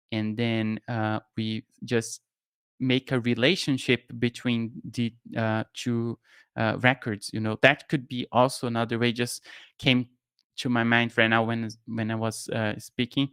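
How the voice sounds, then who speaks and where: Young man, Brazilian accent, relaxed live-stream talk, fillers and restarts, smooth tone, dry studio mic at home. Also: mid-range voice, no echo. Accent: Brazilian Portuguese. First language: Portuguese. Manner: relaxed, thinking aloud with many fillers and restarts. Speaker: no-code tutorial streamer. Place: home studio room with shelves. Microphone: studio mic on boom arm, headphones.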